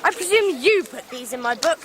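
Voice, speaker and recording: An English actress playing a spirited, well-spoken young Victorian girl in an expressive radio drama performance. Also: high-pitched, moderate pace, a crowd in the background. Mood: indignant. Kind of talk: 1990s radio drama acting.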